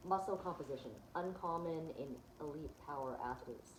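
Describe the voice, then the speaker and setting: Chatty low-voiced woman, echoey room. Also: normal speed, slight background noise. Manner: chatty, many ums and restarts. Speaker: vlogger. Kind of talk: casual chat, walking through results on screen.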